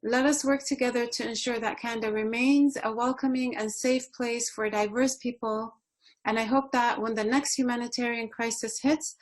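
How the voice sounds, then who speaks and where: Female presenter, slightly high voice, measured and earnest delivery of a prepared speech. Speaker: presenter at a community panel.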